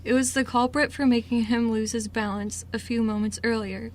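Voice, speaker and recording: A woman's voice: a little high, steady tone, moderate pace. A woman reading scripted story narration for an amateur radio drama. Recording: noisy amateur radio recording.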